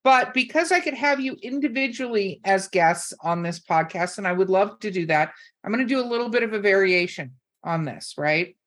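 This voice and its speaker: A woman's voice: mid-range voice, normal speed, hardly any pauses, a bit bright. Woman hosting a casual podcast, teasing and salty. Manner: bossy teasing, salty swearing. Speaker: podcast host. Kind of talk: casual chat, rapid-fire questions to guests.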